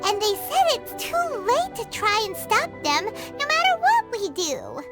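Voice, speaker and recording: A woman voicing a small, childlike, earnest fairy companion in a video game, with a squeaky, chirpy voice. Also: wildly swooping pitch, measured pace, heavily acted. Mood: earnest, explaining. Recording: loud music under the voice. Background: game background music.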